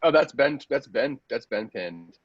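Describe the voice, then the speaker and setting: A man's voice: medium pitch, animated, unhurried, gravelly. A man in casual, slangy, self-deprecating conversation as a podcast cast member. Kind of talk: casual chat. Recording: muffled, dull lo-fi podcast audio.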